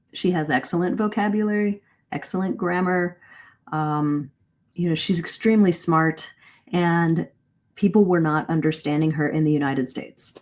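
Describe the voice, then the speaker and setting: A woman's voice: on the low side, darkish tone. A middle-aged woman teaching a live online English lesson in a conversational, encouraging way, explaining and stressing her key points. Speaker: English communication coach, host. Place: live video stream.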